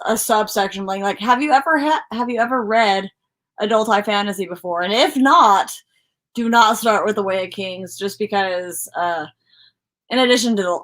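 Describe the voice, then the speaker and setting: A woman's voice: medium pitch, fairly bright tone. A woman co-hosting a book livestream, chatting casually in a rambling, informal way. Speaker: co-host of a book discussion livestream.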